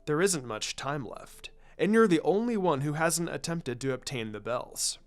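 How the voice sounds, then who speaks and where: A man voicing a laid-back, teasing ninja teacher in a casual, unhurried, cool manner. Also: fairly high pitch, expressive, natural voice. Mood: calm, probing.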